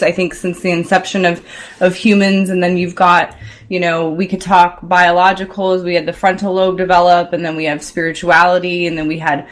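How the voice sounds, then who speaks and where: Woman hosting a talk show, lower voice. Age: 40s. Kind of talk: casual unscripted radio discussion.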